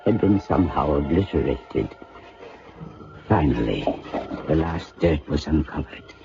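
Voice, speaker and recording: A somber radio-drama narrator looking back in dread. The voice is low and slow with dramatic swells, on a muffled old recording over music. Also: male voice. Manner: measured, solemn, dramatic.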